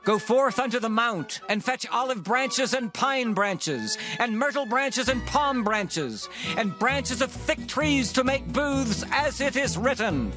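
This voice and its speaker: Herald, very high pitch, slow proclaiming, solemn, scripture drama, music underneath. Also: male voice, lively intonation, heavily acted, rough recording. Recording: music behind.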